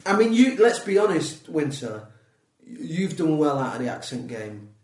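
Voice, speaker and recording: A man's voice: a little high, moderate pace, reverberant, far from the mic. A man with a Mancunian accent, a guest comedian in a casual podcast chat, ranting with plenty of swearing and jokes. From England, Manchester area. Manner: swearing, animated, jokey rants.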